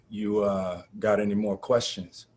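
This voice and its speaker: A man's voice: on the low side, steady tone, slow pace, a little mellow. A man acting in a table read as a cautious animal rights activist planning a rescue. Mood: businesslike. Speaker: actor in a screenplay table read.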